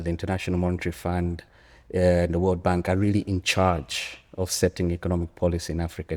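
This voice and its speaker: A man's voice: sits low, a little mellow. A man in his early forties with a Zambian accent, giving reflective, emphatic interview answers as an academic and often repeating phrases. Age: early 40s. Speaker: interview guest, economics academic. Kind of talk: conversational interview answer, storytelling. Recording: echoey hall with long reverb.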